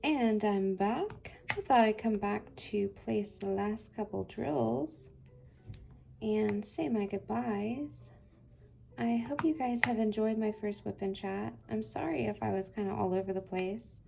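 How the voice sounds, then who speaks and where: A woman's voice: medium pitch, measured pace, creaky, music in the background. A woman hosting a craft channel, chatting casually while she works, rambling and hesitant with many fillers, her voice tired and getting dry.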